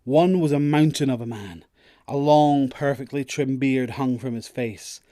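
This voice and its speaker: Male narrator, scripted storytelling, medium pitch, close dry recording. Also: unhurried, dark tone, dry recording.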